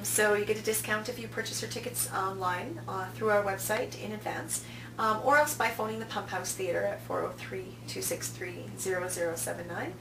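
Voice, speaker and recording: A woman speaks in a casual promotional interview, conversational and emphatic on key words. Her voice is mid-pitched and heard from a distance in a roomy space, with noticeable noise behind her. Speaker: interview guest, film festival programming director. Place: indoor room, on-location video interview. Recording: roomy, distant mic, clear background noise.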